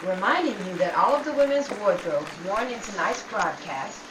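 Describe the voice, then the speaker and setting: A woman's voice: mid-range voice, very animated, moderate pace, over a noisy crowd. A woman cast member of a radio-style show, announcing credits and chatting casually with fellow performers. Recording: very noisy echoey live room, distant mic.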